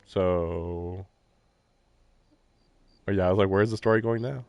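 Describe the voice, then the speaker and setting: Lower-pitched male streamer reading and commenting. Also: steady tone, unhurried, a little mellow, dry recording, lo-fi sound. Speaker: live streamer. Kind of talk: reading a visual novel aloud with casual commentary. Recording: faint game music behind the voice. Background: game soundtrack.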